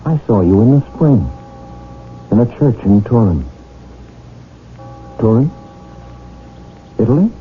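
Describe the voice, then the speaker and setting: A low male voice with lively swings, an earnest, lovestruck English gentleman in a period radio play. He is courteous and romantic, telling his tale like a storyteller. The sound is thin and narrow, like an old broadcast, with music behind. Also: takes it slowly, long pauses. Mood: eager, courteous. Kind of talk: period radio drama acting.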